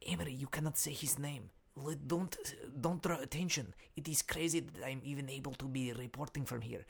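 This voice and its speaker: A man voicing an anxious Ukrainian foreign correspondent in a comic news report, hushed and secretive in broken English. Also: mid-range voice, brisk. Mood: fearful, secretive. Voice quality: hushed, breathy, gravelly.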